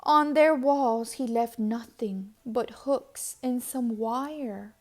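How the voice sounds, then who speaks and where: A woman reads a rhyming storybook to children with dramatic expression. Her voice is fairly high and mellow, and she takes her time with very slow, deliberate phrasing, sometimes hushing toward a whisper. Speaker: storybook reader. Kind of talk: expressive children's read-aloud narration.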